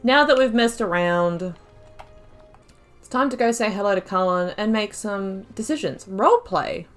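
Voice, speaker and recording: A woman giving casual commentary while playing a game, thinking aloud and breaking into excited exclamations. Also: mid-range voice, normal speed, soft background music. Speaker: let's play host.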